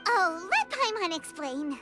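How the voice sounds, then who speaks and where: Little childlike fairy sidekick for a game; extremely high, swooping, exclamatory, over loud music. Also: female voice, very animated, a little slow, heavily acted. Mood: curious. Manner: refers to herself in third person, exclamatory. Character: small excitable childlike floating fairy girl companion. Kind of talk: video game voice acting.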